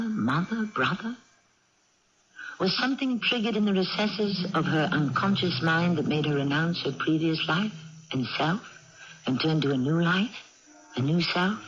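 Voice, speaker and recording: An actress playing a pondering storyteller, posing reflective rhetorical questions in scripted radio-drama narration. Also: female voice, low-pitched. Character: pondering storyteller puzzling over a mystery. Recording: dull, hissy old radio broadcast recording.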